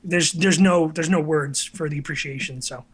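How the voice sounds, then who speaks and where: A man's voice: on the high side, normal speed, slightly rough. A nineteen-year-old man chatting casually as an interview guest, candid and hesitant as he reflects on himself. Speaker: interview guest, online video creator. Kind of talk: casual chat.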